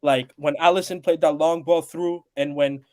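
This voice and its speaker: A man's voice: a little high, fairly even intonation, normal speed, a little mellow. A man from Pakistan with an American accent, calling in to a talk show, earnest and polite. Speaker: caller. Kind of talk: casual call-in chat.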